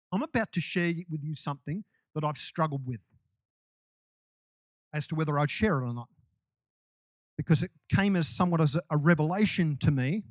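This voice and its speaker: A man's voice: fairly high pitch, dry recording. A man preaching a sermon to his congregation in a loose, conversational way, with restarts and asides. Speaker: pastor.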